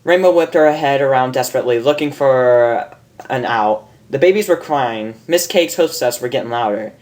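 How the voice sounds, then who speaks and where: A man's voice: mid-range voice, normal speed, a little mellow. A man narrating a story as an amateur host, informal, stumbling over lines and correcting himself. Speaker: fan fiction reader and host.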